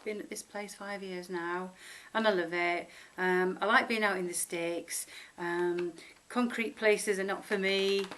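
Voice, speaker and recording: A woman's voice: mid-range voice, steady tone, unhurried, a bit bright. A middle-aged woman from Sheffield with a Northern English accent, chatting informally and unscripted to her viewers in a rambling, affectionate way. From Sheffield, northern England. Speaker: vlogger introducing herself. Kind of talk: casual unscripted chat to camera.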